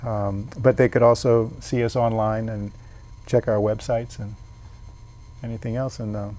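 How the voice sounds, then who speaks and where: A man's voice: lowish voice, little pitch movement, moderate pace, dark tone. A man, a painter being interviewed, talking things through thoughtfully with frequent restarts. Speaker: painter and guest. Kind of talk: casual interview.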